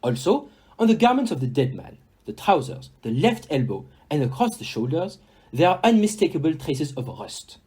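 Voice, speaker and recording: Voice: medium pitch, big pitch swings, normal speed, dark tone, slightly rough. A performer in an amateur audio play acting a polite, self-assured detective, courteous and theatrical, dropping in words. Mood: explaining, dramatic.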